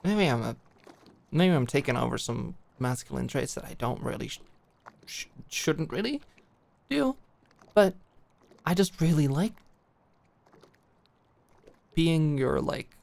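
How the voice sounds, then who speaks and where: A young woman playing a smitten, protective girl at camp in an intimate ASMR roleplay, speaking earnestly and haltingly. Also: sits low, animated, vocal fry, a little mellow, exaggerated voice. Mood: smitten, tender. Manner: halting, earnest, giggly, stammering. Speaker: audio roleplay performer.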